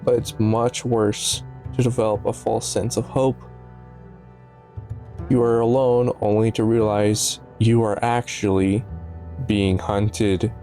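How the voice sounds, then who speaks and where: Mellow-voiced man reviewing a video over music. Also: fairly low pitch, little pitch movement, takes it slowly. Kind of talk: casual analytical video commentary. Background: background music.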